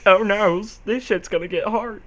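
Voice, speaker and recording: A man's voice: sits high, moderate pace, a bit bright. A man giving joking, crude side commentary on a story, repeating phrases for comic effect. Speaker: co-reader.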